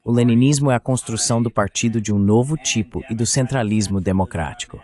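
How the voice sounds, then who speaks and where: Mid-pitched man in translated political voice-over. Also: brisk, a little mellow. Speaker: political commentator, interview guest.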